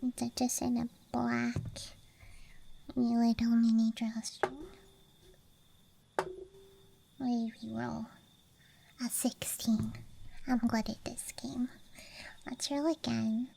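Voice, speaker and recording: A young woman whispering softly and playfully into a close microphone in casual, rambling ASMR style, musing aloud to herself. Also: fairly high pitch, level tone, slow, creaky, pauses often. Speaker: ASMR streamer. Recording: background noise, ambience with clicks. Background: night campground ambience, clicking of small objects.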